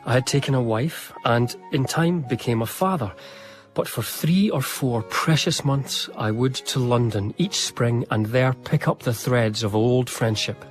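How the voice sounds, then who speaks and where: An earnest young gentleman narrates his visits in a radio play, played by an actor. He speaks softly and with admiration over faint background music. The voice is mid-pitched and steady. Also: a little slow, lightly acted. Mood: admiring. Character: earnest, devoted young Scottish male biographer narrating.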